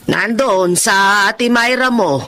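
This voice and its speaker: An actress playing a caring elderly mother in a radio drama, speaking softly and motherly. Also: mid-range voice, slow. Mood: tender. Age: older.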